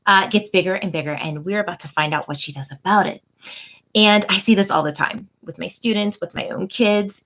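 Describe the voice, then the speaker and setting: A middle-aged woman hosting a read-aloud for children as a tutor, speaking in a deliberate, expressive storytelling manner. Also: fairly low pitch.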